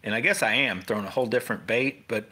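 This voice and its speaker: A man's voice: mid-range voice, quick pace, pushed and bright, husky. A middle-aged man with an American accent gives a casual, unscripted fishing tutorial as host, rambling informally and often interrupting himself.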